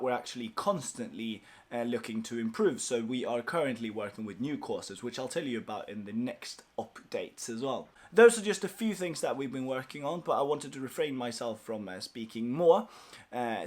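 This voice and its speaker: A man's voice: medium pitch, lively intonation, a little fast. Upbeat young man with Swedish roots. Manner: upbeat, grateful, rambling with fillers. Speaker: language course founder. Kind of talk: casual company update to viewers. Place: small office.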